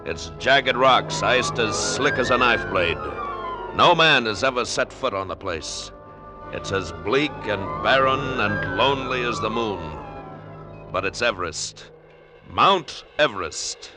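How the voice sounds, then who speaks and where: A man's voice: fairly low pitch, animated, takes it slowly. An actor playing an intense, obsessed mountaineer who tells his story in a 1950s radio drama. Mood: intense awe. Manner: intense, dramatic, brooding delivery. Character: obsessed male mountaineer recounting an Everest tragedy. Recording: old radio recording with loud music behind.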